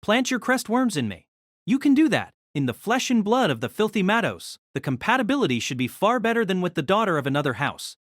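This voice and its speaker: A man's voice: sits high, big pitch swings, fairly quick, a bit bright, no room sound, clean studio sound, exaggerated voice. A man voicing a bitter, defiant younger man in an audiobook drama, confrontational and intense with his villainous father.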